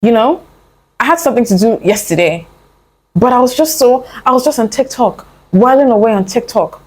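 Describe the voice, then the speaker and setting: A woman's voice: a little high, animated, moderate pace, low-quality recording. Earnest young woman giving pep talk. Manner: earnest, coaxing, calls the listener "my love" and "honey"; rambling with frequent fillers and restarts. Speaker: motivational vlogger. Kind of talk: casual motivational talk to camera.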